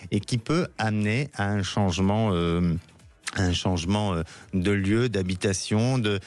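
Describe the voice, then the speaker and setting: A man hosting a live radio call-in as a psychic, speaking gently and hesitantly with many fillers. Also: on the low side, measured pace.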